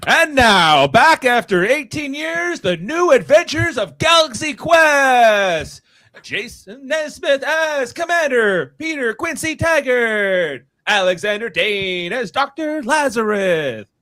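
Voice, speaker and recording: A man playing a bombastic convention announcer who hypes the crowd with drawn-out showman shouts in a radio-theatre read. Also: extremely high pitch, sing-song, voice pushed up, heavily acted. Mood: grand, proclaiming. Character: bombastic male convention announcer, hyping the crowd with big fanfare.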